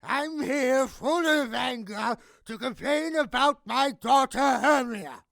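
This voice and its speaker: A man's voice: very high-pitched, takes it slowly, creaky, a bit bright, dry recording, studio quality, heavily acted. A man playing an outraged, controlling noble father in an audio drama, declaiming his complaints indignantly. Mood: furious, accusing. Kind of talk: modernized Shakespeare audio drama acting.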